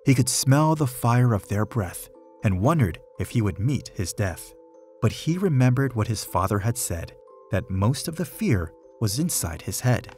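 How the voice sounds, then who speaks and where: Expressive man reading a rhyming storybook over faint music. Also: mid-range voice. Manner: measured, rhythmic storytelling with emphasis. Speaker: storybook reader. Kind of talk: expressive read-aloud of a rhyming children's story.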